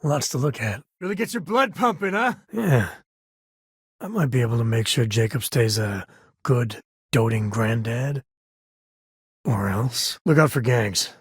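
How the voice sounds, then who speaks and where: A rugged, warm-hearted frontier ranger and devoted dad from a video game. He talks in a folksy, laid-back way with dry wit. His mid-pitched voice has a husky edge and is recorded very dry. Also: expressive, a little slow, dry recording, heavily acted. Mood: relaxed, playful. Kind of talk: video game voice acting, companion dialogue.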